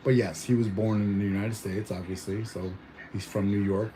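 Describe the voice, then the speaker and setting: A man's voice: fairly low pitch, little pitch movement, moderate pace, warm tone, a little hiss or hum, poor audio. A young man born in Mexico chatting casually at home, rambling with lots of fillers and restarts. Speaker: vlogger. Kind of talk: casual chat.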